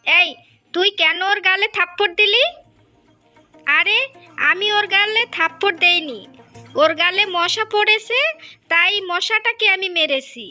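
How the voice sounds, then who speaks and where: A woman plays a jealous fisherman's wife in a cartoon fairy tale. The voice is extremely high and piercingly bright, insistent and argumentative, with music underneath. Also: measured pace, pauses often, heavily acted. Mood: furious. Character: jealous, bickering village wives of a fisherman.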